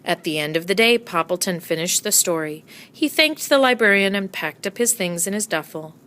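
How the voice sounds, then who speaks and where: A woman narrating a children's storybook aloud with expressive storytelling delivery. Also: fairly low pitch, normal speed. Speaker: storybook reader.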